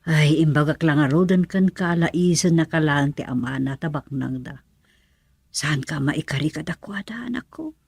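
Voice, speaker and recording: Husky, worried mother. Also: low-pitched, normal speed, no room sound, full character voice. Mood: dismayed. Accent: Filipino. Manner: anxious, cautioning. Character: worried, protective mother of a young man, resigned. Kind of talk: radio drama acting.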